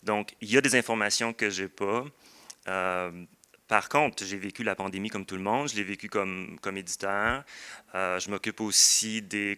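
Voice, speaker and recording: A man's voice: lowish voice, pushed and bright, clean tone. A man with a Québécois French accent answering thoughtfully in a panel discussion, often searching for words as a literary editor. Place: book fair round table.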